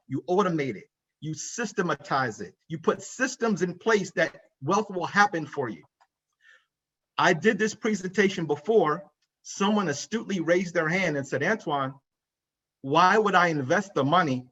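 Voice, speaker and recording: A man's voice: on the high side, a bit bright, dull audio. A man in his forties, a financial advisor giving an emphatic conference talk, repeating key points and speaking straight to the audience. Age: 40s. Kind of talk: conference presentation. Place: conference room.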